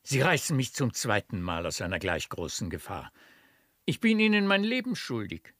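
An actor in a German radio play playing a grateful, effusive baron with a courtly, emphatic manner. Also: male voice, mid-range voice, sing-song, normal speed, fairly bright tone, no room sound, clean studio sound.

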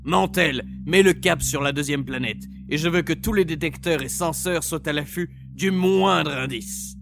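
A man playing a big, commanding male mercenary chief in a science-fiction audiobook, with a brisk manner. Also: a little high, gravelly, soft background music, voice pushed up.

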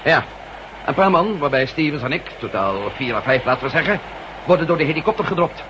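A dull, noisy recording carries a radio drama hero played by a man, a confident adventurer. His voice is on the high side and moves quickly, matter-of-fact and sure of itself. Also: expressive, natural voice. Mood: assured, urgent. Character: confident, quick-thinking male adventurer and former astronaut. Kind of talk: Dutch radio drama acting.

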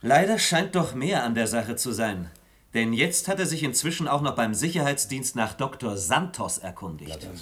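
An actor playing a formal, officious government minister in a 1960s German satirical radio drama. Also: male voice, medium pitch, sing-song, moderate pace, a bit bright. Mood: concerned, officious. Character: officious, scornful male interior minister.

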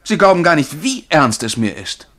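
An actor playing a nervous, ardent young amateur poet in love, with breathless, earnest delivery in a German radio drama. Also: male voice, fairly high pitch, sing-song, normal speed, fairly bright tone, exaggerated voice. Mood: indignant, earnest. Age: young adult.

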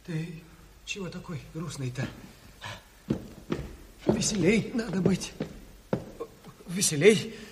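An actor playing an excitable revolutionary comrade who cheers others on in a radio drama. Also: male voice, sits high, slow pace, long pauses. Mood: cheering, urging. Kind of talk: theatrical radio drama acting.

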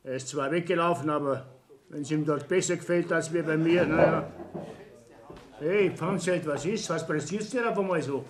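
A man's voice: on the high side, moderate pace, natural voice. A man acting a proud, aggrieved Bavarian business owner in a 1960s German radio play, self-righteous and blustering. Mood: bitter, reproachful.

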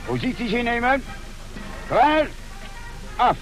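An actor in an old radio comedy playing a stiff, stubborn, quarrelsome citizen who speaks in a clipped, pompous, formal way. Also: male voice, extremely high pitch, very animated, takes it slowly, creaky, exaggerated voice, poor audio. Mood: combative. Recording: old radio play, music behind.

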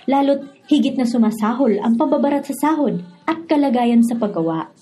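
A woman's voice: fairly high pitch, dark tone, breathy edge. A woman with a Filipino accent hosting a radio drama series and reading scripted narration. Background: background music.

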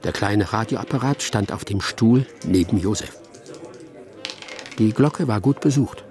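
Low-pitched man narrating a radio drama. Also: a little slow, a little mellow. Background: faint music.

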